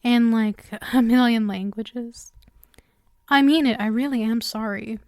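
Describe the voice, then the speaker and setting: A woman playing a lonely, coaxing female demon in an intimate ASMR roleplay, speaking softly and hesitantly, often dropping into a whisper. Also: fairly high pitch, unhurried, no room sound, clean studio sound, lightly acted. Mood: pleading, apologetic.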